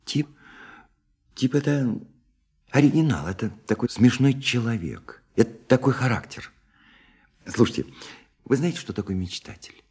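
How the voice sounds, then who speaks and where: An actor in a Russian radio play playing a shy, lonely dreamer, hesitant and earnest, with a soft husky voice. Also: male voice, medium pitch, animated. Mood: excited, earnest. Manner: hesitant, halting, flustered, earnest.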